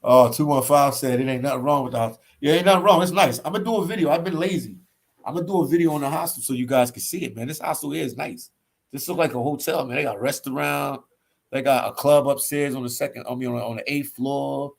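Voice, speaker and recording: A relaxed man with a Philadelphia accent talks casually to his livestream viewers from a hostel library. He reads out their comments and answers them, often repeating phrases and tacking "man" onto the end of his sentences. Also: medium pitch, fast talker, gravelly. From Philadelphia, US East Coast. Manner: laid-back, says "man" a lot, repeats phrases. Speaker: travel vlogger reading live chat.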